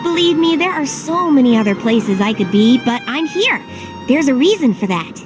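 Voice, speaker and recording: Playful mysterious game guide girl, really swoopy and high, music behind. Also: sing-song, normal speed. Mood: earnest. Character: teasing, enigmatic female guide companion, fond and evasive. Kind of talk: English video game voice acting.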